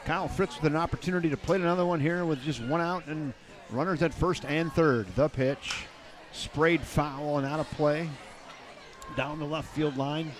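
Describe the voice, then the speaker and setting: An older man doing play-by-play for a baseball game, chatty and folksy, with light jokes between pitches. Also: fairly even intonation, moderate pace. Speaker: play-by-play announcer. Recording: crowd noise in the background.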